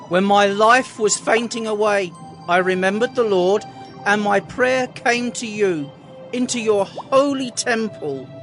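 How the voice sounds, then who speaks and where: A man playing the distressed prophet Jonah, praying in slow, earnest pleas. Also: high-pitched, fairly bright tone. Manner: slow, earnest pleading prayer. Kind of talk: audio drama acting.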